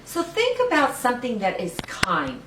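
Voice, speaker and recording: A woman's voice: fairly high pitch, huge pitch swings, moderate pace, a bit bright. An older woman hosting a children's story time, reading and chatting playfully and encouragingly in simple words for small children. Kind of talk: read-aloud storytelling with chat to young children. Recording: roomy, distant mic with faint noise.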